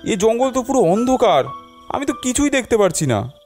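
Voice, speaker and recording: A timid, excitable young cartoon nephew, acted by a man, very high in pitch and animated. He sounds anxious and wary, exclaiming over light background music. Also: fairly quick. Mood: nervous. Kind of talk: cartoon voice acting.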